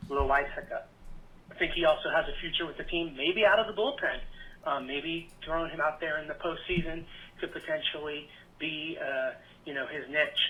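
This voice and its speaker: A man's voice: fairly high pitch, bright, forceful tone, low-quality recording. A man leaving a casual, rambling voicemail as a sports fan caller, somewhat tentative. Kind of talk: casual spoken voicemail opinion. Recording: faint background noise on voicemail.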